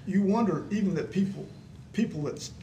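A man's voice: high-pitched, brisk, warm tone. An older man hosting a casual chat about singing, rambling easily and laughing often. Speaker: host. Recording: noisy, distant from the mic.

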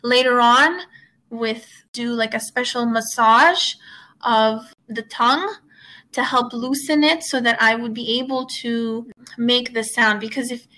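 Earnest woman guest whose first language is Russian, bright and a little high. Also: poor audio. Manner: earnest, encouraging. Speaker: guest, author and teacher. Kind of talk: conversational interview.

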